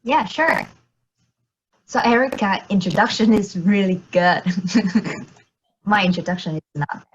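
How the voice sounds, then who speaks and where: A woman's voice: mid-range voice, lively intonation, unhurried, pauses often, breathy edge, muffled recording. A young woman from Vietnam, a computer science student, introducing herself modestly and casually. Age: young adult.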